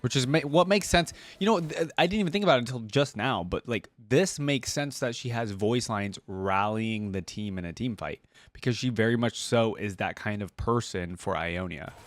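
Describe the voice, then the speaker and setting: A man's voice: mid-range voice, animated, moderate pace, few pauses, no echo. A man giving casual reaction commentary on game voice lines as a host, musing aloud in loose, informal fragments.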